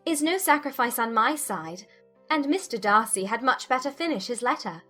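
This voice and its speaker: A woman voicing a witty, self-assured young Regency gentlewoman, arch and teasing, in an audiobook. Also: fairly high pitch, expressive, moderate pace, faint music behind, voice pushed up. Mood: playful, arch. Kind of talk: dramatized audiobook dialogue.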